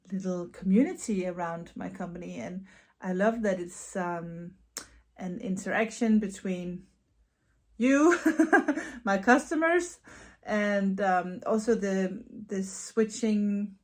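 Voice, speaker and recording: A Danish woman with a Danish accent, answering viewer questions in a casual knitting vlog at home, chatty with soft laughs and repeated words. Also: medium pitch. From Denmark. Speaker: knitting vlogger and yarn dyer. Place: her home.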